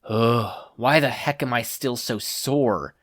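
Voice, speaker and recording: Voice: mid-range voice, takes it slowly, close to an everyday voice. A podfic reader voicing a brash, hot-tempered teenage boy hero student who grumbles, snaps and boasts. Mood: tired grumbling.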